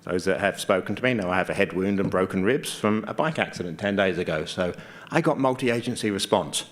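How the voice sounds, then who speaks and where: A man's voice: sits low, expressive, fairly quick. A man giving an informal conference presentation, explaining things conversationally with dry, understated humor. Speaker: conference speaker, GIS mapping consultant.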